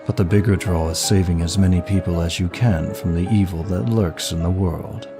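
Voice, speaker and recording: A man playing a suave, seductive demon of lust in an intimate audio roleplay, flattering the listener in a formal, flirtatious manner. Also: low-pitched. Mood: charming, admiring. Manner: smooth, flirtatious, formal, unhurried. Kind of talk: intimate ASMR-style roleplay, one side of a dialogue. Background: music.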